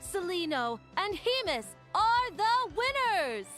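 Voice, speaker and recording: Excited female game tournament announcer: extremely high, animated, drawn-out calls. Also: soft background music, exaggerated voice. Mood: triumphant.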